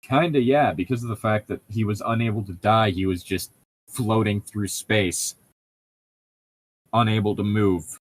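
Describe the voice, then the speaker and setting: A man's voice: on the low side, measured pace, no room sound. Casual, rambling man in easy conversation. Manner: rambling, profane, digressive. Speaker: podcast co-host. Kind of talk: casual chat and commentary while reading a novel aloud.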